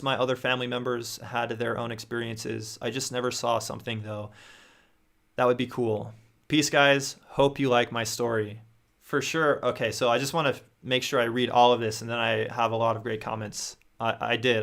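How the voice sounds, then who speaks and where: A young man with a gravelly, mid-pitched voice reads viewer stories aloud on a livestream. He speaks close to a studio microphone in a small room at home. He often stumbles, restarts and adds his own reactions as he goes. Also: a bit bright. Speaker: host reading viewer stories. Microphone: studio mic on boom near face.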